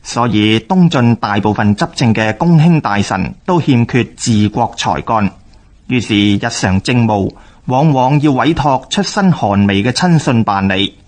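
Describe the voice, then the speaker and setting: A man with a Hong Kong Cantonese accent reads scripted historical radio narration in a formal, measured way. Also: mid-range voice, animated.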